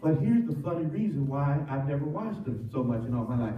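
A middle-aged man preaching a sermon as pastor, animated and full of call-and-response. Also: medium pitch, brisk, phone-quality sound. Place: church sanctuary with cross wall. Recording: roomy church from far off.

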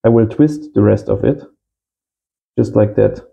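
A man's voice: on the low side, measured pace, gravelly, dark tone. A man in his thirties giving a casual hands-on tutorial as host, in simple short phrases. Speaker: host of amateur radio channel. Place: small indoor home workshop.

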